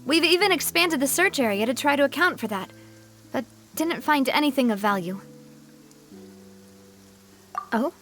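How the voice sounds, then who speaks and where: A spirited young lady leader from a video game, with a high voice. She sounds earnest, proud and determined, delivering lines expressively. Music runs clearly underneath. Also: expressive, brisk, fairly bright tone. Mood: pensive. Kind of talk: video game cutscene acting.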